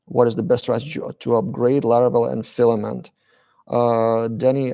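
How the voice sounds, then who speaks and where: A man from Lithuania, hosting a casual live-stream Q&A, reading chat questions aloud and answering briefly with lots of "uh" pauses. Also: medium pitch, creaky, mellow.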